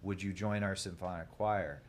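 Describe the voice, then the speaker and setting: A young man from Ohio with a deep voice, a podcast guest telling his story in a rambling, laid-back way full of fillers. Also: fairly even intonation, normal speed, slight background noise. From Columbus, Ohio. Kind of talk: casual podcast chat.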